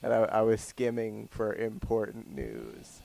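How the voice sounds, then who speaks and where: Male co-host, creaky lively voice, playfully skeptical banter, faint noise behind him. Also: on the low side, unhurried. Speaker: co-host of a community radio show. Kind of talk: casual comic banter.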